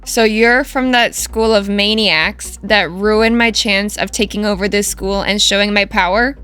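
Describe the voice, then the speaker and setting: A woman's voice: mid-range voice, fairly even intonation, unhurried, fairly bright tone, smooth, faint music behind, natural voice. A woman voicing a fierce, hot-tempered teenage girl who leads a gang in a visual novel, curt, scornful and threatening. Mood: angry, menacing.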